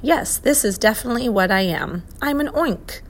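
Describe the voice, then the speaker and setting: A woman's voice: a little high, animated, moderate pace, voice pushed up. A woman voicing a vague, forgetful pear-shaped male creature in a storybook reading, with an absent-minded, mournful sing-song.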